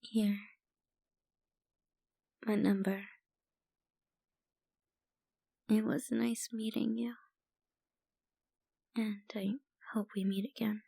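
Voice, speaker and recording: A woman playing a troubled young painter in an intimate ASMR roleplay, speaking softly and haltingly with sighs and trailing phrases. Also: medium pitch, flat delivery, vocal fry, no room sound, clean studio sound. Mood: quiet, wistful. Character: troubled young woman painter, a stranger venting family worries.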